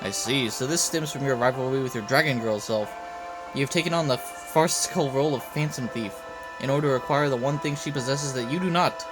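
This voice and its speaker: A man's voice: mid-range voice, fairly quick, music in the background, lightly acted, rough recording. A man voicing an arrogant, perceptive king from a game, with pompous, formal speech. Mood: lordly.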